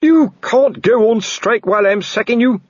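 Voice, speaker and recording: A man voicing a pompous, blustering middle-aged male watch captain who shouts and splutters indignantly. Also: mid-range voice, animated, normal speed, slightly rough, no echo, dull audio, voice pushed up.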